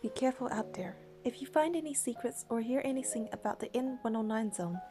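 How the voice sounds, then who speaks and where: A woman's voice: fairly high pitch, expressive, brisk, dark tone. A woman reading game narration and dialogue aloud, with casual commentary of her own.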